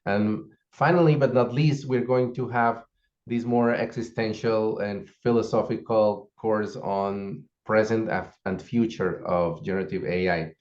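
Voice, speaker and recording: A man's voice: on the low side, unhurried. A man who is a course instructor, steadily explaining and listing the parts of a course over a video call. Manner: steady, explanatory, listing items one after another. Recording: dull lo-fi call audio.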